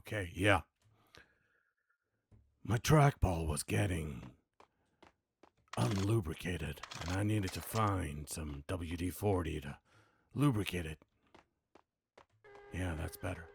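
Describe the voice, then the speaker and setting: A man doing video game commentary and voice practice in a dry, deadpan way, muttering at times. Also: low voice. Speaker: gamer practicing voice acting. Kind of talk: gameplay commentary mixed with character-voice practice. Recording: clearly audible background noise, clicks.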